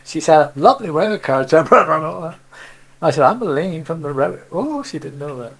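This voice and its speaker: An older man with a Cornish accent talks in a casual, reminiscing way. His voice sits a little higher than most men's. He rambles from one story to the next, tagging phrases with "see" and "you know". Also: sing-song, brisk, fairly bright tone. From Cornwall, England. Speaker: interviewee, local dialect speaker.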